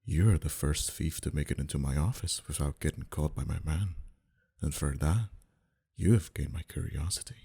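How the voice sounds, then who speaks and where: A man playing a smug, flirtatious and menacing mafia boss in an intimate ASMR roleplay, dominant and teasing, sometimes dropping to a breathy whisper. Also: exaggerated voice. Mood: intrigued. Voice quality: very deep, dark, husky.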